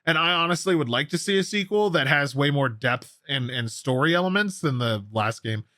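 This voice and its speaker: Male gaming news host: forceful bright tone, slightly high voice, opinionated and casual, repeats for emphasis. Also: lively intonation, normal speed, dry recording, clean studio sound.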